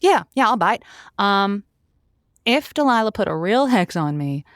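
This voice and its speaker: Actress as an animated, chatty woman radio host. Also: medium pitch, a little slow, dry recording, clean studio sound. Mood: amused, skeptical. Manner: hesitant, folksy, playful. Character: chatty, earnest, skeptical female advice radio show host. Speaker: radio advice show host. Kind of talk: scripted audio drama radio show.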